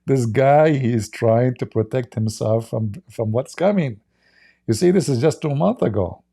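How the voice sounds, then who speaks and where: A man's voice: medium pitch, expressive, moderate pace, vocal fry, dark tone. A man whose first language is not English, hosting a livestream and mocking video clips in broken English, laughing often. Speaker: livestream host.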